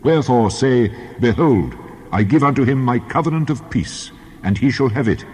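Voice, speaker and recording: Actor as commanding, husky God in cavernous reverb. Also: male voice, mid-range voice, lively intonation, normal speed, a little mellow. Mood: solemn, approving. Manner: weighty, authoritative proclamation. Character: God, solemn commanding male deity, stern and wrathful. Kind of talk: dramatized Bible reading.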